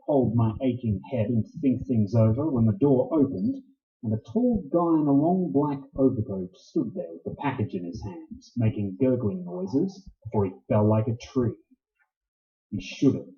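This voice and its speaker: An actor playing a hard-boiled private detective in old radio noir style, with slangy, laconic, wry delivery. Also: male voice, mid-range voice, natural voice. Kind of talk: 1940s radio noir narration and acting. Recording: muffled lo-fi sound in echoey room.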